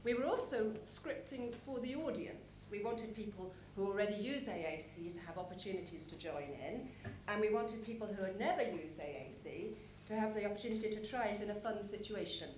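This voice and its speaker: A woman speaks as a presenter at a plenary session in a large hall. Her mid-pitched voice moves through explanatory phrases with deliberate pauses. The sound is roomy, with noticeable background noise. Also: a little mellow. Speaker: conference presenter. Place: large conference hall with an audience. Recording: echoey conference hall, clear background noise.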